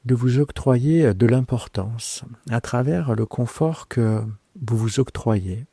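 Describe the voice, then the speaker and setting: A man guiding a yoga nidra relaxation in a soft, breathy, hushed voice, unhurried, with long pauses and gently repeated phrases. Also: medium pitch, no room sound, clean studio sound. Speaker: yoga nidra guide, host. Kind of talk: slow guided relaxation meditation.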